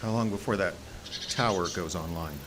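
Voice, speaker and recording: A man's voice: low-pitched, normal speed, noisy background, lightly acted. An actor playing a paranoid, foreboding woodsman in an audio horror drama, insistent and given to rambling. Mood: grim, stubborn. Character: paranoid, ominous woodsman convinced that creatures are coming.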